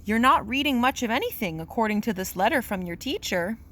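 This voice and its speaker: A woman's voice: on the high side, animated, fairly quick, a bit bright. A woman voicing a stern, exasperated father bear from a picture book, with sarcastic, scolding delivery.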